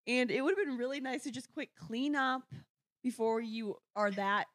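A young woman co-hosting a podcast in casual chat, excitable and giggly, telling stories with drama. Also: on the high side, normal speed, dry recording, studio quality. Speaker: podcast co-host.

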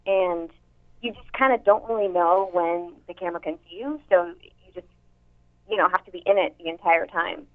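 A young actress with a somewhat deep voice, chatting casually in an interview with many fillers and restarts. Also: female voice, normal speed. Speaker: actress and voice actor. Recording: tinny phone-like line.

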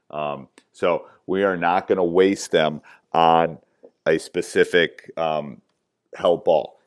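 A man with a loud voice teaching basketball coaching tips, emphatic and repetitive, with frequent "um"s. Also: deep voice. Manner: emphatic, repeats key points, many fillers. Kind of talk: coaching clinic talk.

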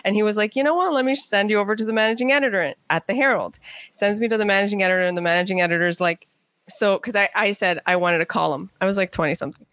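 A middle-aged American woman telling anecdotes as a guest in a casual interview, chatty and quick to act out dialogue. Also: mid-range voice, a bit bright, no room sound.

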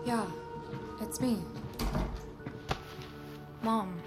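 An actress playing an anxious, apologetic young woman who speaks haltingly, in a naturalistic audio drama. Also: fairly high pitch, loud music behind the voice. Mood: drained. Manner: hesitant, stammering. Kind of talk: naturalistic audio fiction acting.

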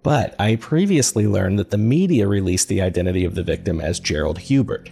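Horror-podcast investigator: low, gravelly, confiding, measured documentary narration over faint music. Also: male voice, dark tone. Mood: earnest, wary. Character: male paranormal podcast host, earnest, uneasy investigator. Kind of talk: scripted documentary-style narration, confiding recap.

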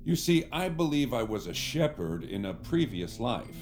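An actor playing a smarmy, theatrical celebrity who poses as a savior, speaking in a pompous, evasive, grandiose way in an audio drama. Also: male voice, medium pitch, very animated, moderate pace, a little mellow, faint music behind, lightly acted. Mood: grandiose, reassuring.

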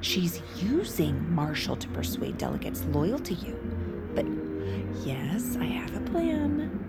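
A scheming, doting daughter murmurs in a slightly low, breathy voice. She is sugary and conspiratorial under loud dramatic music. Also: very animated, slow pace, full character voice. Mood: conspiratorial, affectionate. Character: hushed, scheming, doting adult daughter of the President. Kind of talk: audio-drama dialogue.

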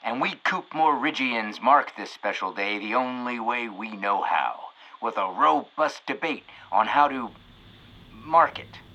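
Showy, bright-voiced small-town radio announcer. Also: male voice, mid-range voice, lively intonation, muffled recording, exaggerated voice. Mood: cheery. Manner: showy announcer delivery with dramatic pauses. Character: folksy small-town radio announcer, cheerfully upbeat man. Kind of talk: radio show hosting in audio comedy.

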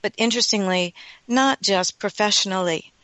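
A woman hosting a radio interview, speaking earnestly and deliberately. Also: medium pitch, fairly bright tone, no room sound, low-quality recording. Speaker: radio host.

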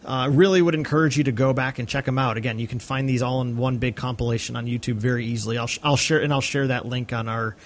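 A man co-hosting a casual film podcast, agreeable and a little halting, often repeating words as he goes. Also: medium pitch, steady tone, a little hiss or hum. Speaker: podcast co-host.

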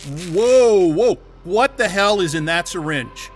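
An actor playing an angry, sarcastic software developer held captive in an audio drama, agitated and defiant. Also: male voice, high voice, expressive, slow pace, soft background music. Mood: panicked.

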